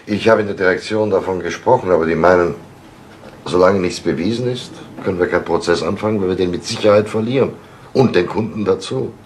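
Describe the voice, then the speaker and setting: An actor playing a cautious, evasive company official with formal, measured phrasing in a 1970s radio crime drama. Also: male voice, low-pitched, natural voice. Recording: old radio recording with faint noise.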